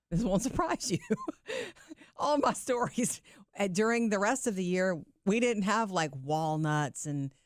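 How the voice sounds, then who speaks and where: A woman co-hosting a podcast, chatting casually, laughing easily and reading listener letters aloud in a warm, reflective way. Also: mid-range voice, very animated, slow, no room sound, studio quality. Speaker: podcast co-host.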